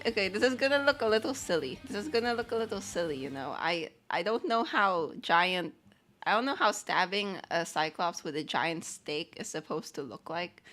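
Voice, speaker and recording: A woman with a slightly low voice talks casually to her livestream chat. She rambles in loose, chatty phrases as she works on animation, with faint music playing behind her. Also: fairly bright tone. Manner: rambling, chatty, reads chat questions aloud.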